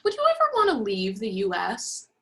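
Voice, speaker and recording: A woman reading the part of a chatty, naive young college roommate in a play, expressive and opinionated. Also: mid-range voice, moderate pace. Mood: friendly. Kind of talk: virtual play reading, naturalistic dialogue.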